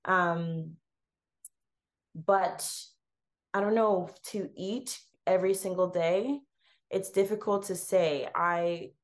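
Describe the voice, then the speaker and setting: A woman's voice: lowish voice, steady tone, slow pace, long pauses. A woman with a Canadian accent, a food blogger chatting as an interview guest, rambling with ums. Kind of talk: casual interview chat.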